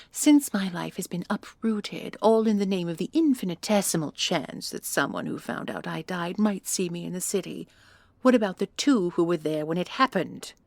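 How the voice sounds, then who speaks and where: A woman performs a haughty female commandant in a sci-fi audio drama. Her voice is husky and full of fry, captured very dry and close. She speaks with sardonic, cutting confidence. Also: lowish voice, a little mellow, no room sound. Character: proud, sardonic female commandant and brilliant inventor. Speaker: voice actor and creator. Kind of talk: sci-fi audio roleplay acting.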